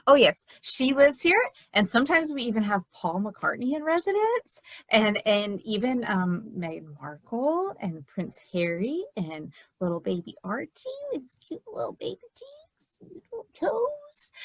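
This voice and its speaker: A close, dry recording of a woman playing a scatterbrained household chatterer. She rambles in an exaggerated comic farce style, her high voice swooping around. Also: a bit bright, dry recording, full character voice, rough recording. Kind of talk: amateur comic improvised-sounding farce acting.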